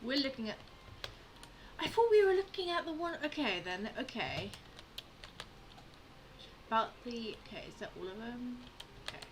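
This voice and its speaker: A woman hosting a game playthrough, commenting casually and reading text aloud in an informal, halting way. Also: on the high side, expressive. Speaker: gamer, channel host. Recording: clear background noise with game audio.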